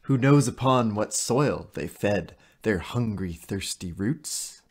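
An actor playing an eerie goblin creature in a child's shape, chanting verse slowly with a husky voice in an audio play. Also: male voice, lowish voice, animated, darkish tone, exaggerated voice. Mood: eerie, ominous. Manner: slow, chanting recitation of verse.

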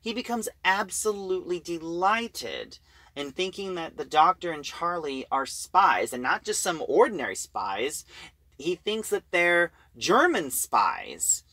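A man's voice: on the high side, sing-song, a little slow, pushed and bright, dry recording. A man giving a casual recap of a story as an audio drama reviewer.

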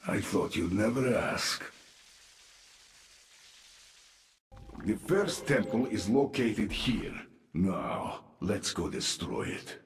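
An actor playing a gruff, grim military commander in a video game, gravelly and ominous. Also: male voice, fairly low pitch, big pitch swings, slow pace, slight background noise, full character voice. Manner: dramatic, ominous. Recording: echoey reverberant game audio, music behind.

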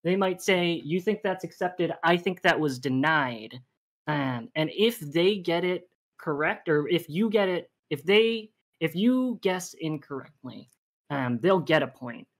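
Lower-voiced woman explaining game rules. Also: expressive, measured pace. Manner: explains rules at length with many fillers. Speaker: game show host. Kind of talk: casual livestream game show hosting.

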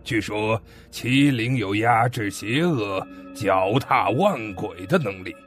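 A man playing a stern father in an ancient-era audio drama, speaking gravely and with authority. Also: fairly low pitch, faint music behind. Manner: slow, grave, commanding. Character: stern father of a young heir, ancient China. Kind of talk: fan audio drama acting.

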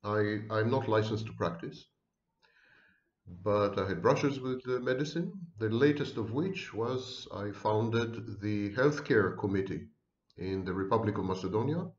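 A man's voice: on the low side, measured pace. A middle-aged man, talking to camera at home in an ironic, lecturing way. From Israel. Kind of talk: monologue to camera. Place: home room. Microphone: webcam. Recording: roomy, dull webcam sound.

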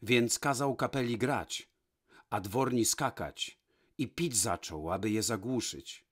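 A man narrating a fairy tale from a script, with measured, dramatic pauses. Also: medium pitch, expressive, slightly rough. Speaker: storyteller, narrator.

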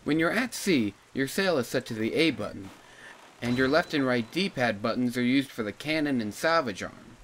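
Music plays clearly behind a man with a mid-range voice. He narrates a scripted video game review in an informal, casual way, offering his own opinions as he goes. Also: medium pitch, animated, moderate pace, a bit bright. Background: background music.

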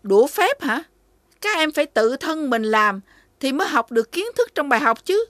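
Stern, high-voiced cow schoolteacher. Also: female voice, very animated, normal speed, a bit bright, no echo, clean studio sound. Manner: scolding, instructive. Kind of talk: animated children's cartoon acting. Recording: faint music behind the voice.

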